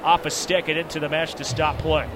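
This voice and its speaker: A man's voice: on the high side, brisk, noisy background. A man giving live radio play-by-play of ice hockey, with clipped, present-tense calls. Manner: clipped present-tense calling, rising to excited calls at big moments. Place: broadcast position high above the rink in an arena.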